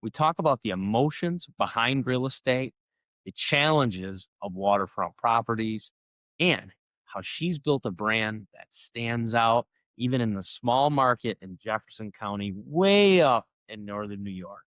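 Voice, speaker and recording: A man's voice: medium pitch, animated, unhurried, a bit bright, no echo. A man hosting a podcast, enthusiastic and hype-style, often tagging his sentences with "right?" and "like". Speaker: podcast host, loan officer. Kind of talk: casual podcast interview.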